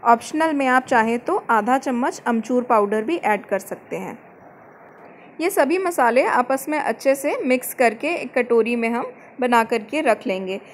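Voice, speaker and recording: A woman giving calm step-by-step cooking instructions as the host of a home recipe channel, mixing English words into her Hindi. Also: a little high, unhurried, a little hiss or hum. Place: home kitchen.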